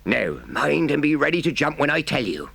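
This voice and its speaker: A man's voice: on the high side, sing-song, moderate pace, vocal fry, a bit bright, no echo, pitched above the performer's own voice, heavily acted. A man voicing a kindly but stern working-class engine driver in a storybook, folksy and emphatic. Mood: urgent. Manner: plain-spoken, emphatic, folksy. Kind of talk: storybook character voice.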